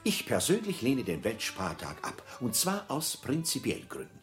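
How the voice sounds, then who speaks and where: Ein Schauspieler spielt in einem Hörspiel einen pompösen, pedantischen Antragsteller, der deklamierend Punkt für Punkt absurd logisch argumentiert. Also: male voice, mid-range voice, huge pitch swings, moderate pace, soft background music. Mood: pompous, insistent. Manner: declamatory, pedantic, enumerating points. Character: pompous pedantic male credit applicant, absurdly logical speechmaker. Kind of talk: radio play acting.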